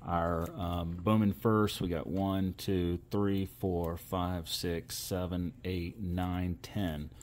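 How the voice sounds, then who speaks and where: A man's voice: deep voice, little pitch movement, slow, few pauses, a little mellow, a little hiss or hum. A man hosting a casual video, giving running commentary as trading cards are opened. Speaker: host of a card-opening channel.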